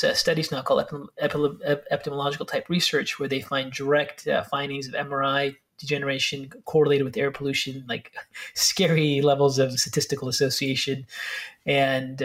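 A man speaking as a guest expert, explaining brain health in a fast, filler-heavy way with restarts. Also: mid-range voice, fairly bright tone. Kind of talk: explanatory interview talk.

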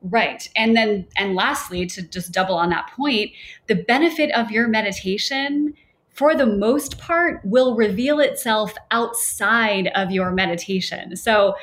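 A woman's voice: medium pitch, measured pace. Chatty woman meditation teacher. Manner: relaxed, chatty, playful asides. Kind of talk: casual podcast conversation.

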